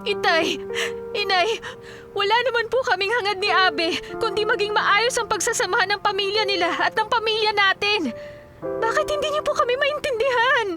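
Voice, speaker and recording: A Filipino actress playing an earnest, pleading young adult daughter in an emotional radio drama. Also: female voice, extremely high pitch, moderate pace. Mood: sorrowful pleading. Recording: loud dramatic music behind. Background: dramatic music.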